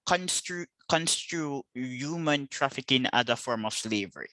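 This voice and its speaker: A man from Jamaica with a Jamaican accent, hosting a scholarly interview in a measured way, sometimes repeating the first words of a phrase. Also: mid-range voice, lively intonation, sharp tone, dry recording. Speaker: podcast host, interviewer.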